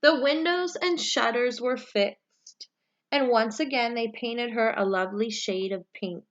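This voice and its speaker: A woman reading a children's picture book aloud, with expressive, deliberate storytelling phrasing. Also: on the high side, highs cut off. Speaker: story reader, read-aloud for young children.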